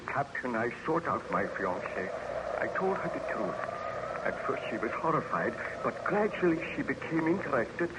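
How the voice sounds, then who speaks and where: An actor playing a proud, obsessed young scientist in a formal, theatrical old-time radio drama style, on a noisy recording. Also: male voice, on the high side, close to an everyday voice.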